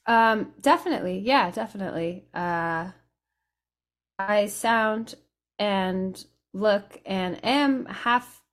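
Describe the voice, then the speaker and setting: A woman who grew up in Europe, talking casually as an interview guest, explaining things reflectively with thinking pauses. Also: medium pitch. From Europe: Italy, England and France.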